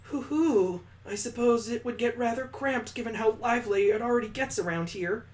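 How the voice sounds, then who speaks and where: A man voicing a composed, enigmatic older schoolgirl from a visual novel, speaking in a measured, formal way. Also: very high voice, pitched above the performer's own voice. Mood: gently teasing.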